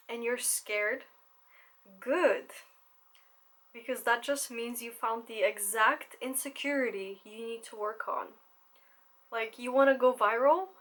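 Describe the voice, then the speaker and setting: A woman's voice: fairly high pitch, a little slow, long pauses, fairly bright tone. A young woman talking candidly to camera as a content creator, swearing casually and laughing often.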